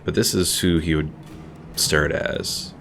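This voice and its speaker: A man's voice: a bass voice, fairly even intonation, takes it slowly, creaky, fairly bright tone, slight background noise. A man streaming a strategy game with casual live commentary, thinking aloud and reading chat.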